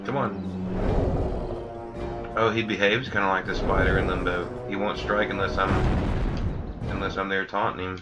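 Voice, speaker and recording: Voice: low-pitched, little pitch movement, normal speed, pauses often. A game streamer giving casual, playful live commentary and reacting aloud to the game. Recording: loud music behind the voice.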